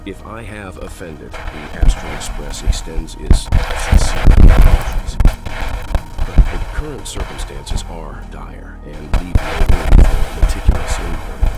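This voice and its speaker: An actor playing a grave, courteous middle-aged gentleman traveller, formal and diplomatic in a video game. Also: very deep voice, a little slow, rough recording. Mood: grave, insistent. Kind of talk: dramatic video game voice acting. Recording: loud music behind the voice. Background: loud game music.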